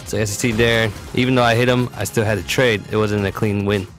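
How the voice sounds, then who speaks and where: Low-voiced man giving game tutorial over music. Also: fairly even intonation, a little fast. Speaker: competitive gamer presenting a character guide. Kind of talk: explanatory video game tutorial commentary.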